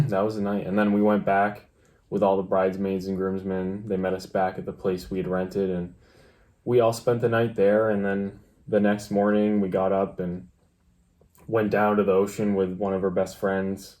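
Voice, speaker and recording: Young guy vlogging, really low voice, monotone delivery, laid back casual storytime. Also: moderate pace. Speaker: vlog co-host.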